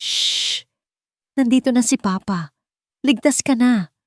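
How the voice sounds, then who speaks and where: A woman voicing a weary, hurt father of a small child in an audio drama, with a hushed, intense manner. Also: a little high, animated, slow pace, no echo, clean studio sound, voice pushed up. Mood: soothing.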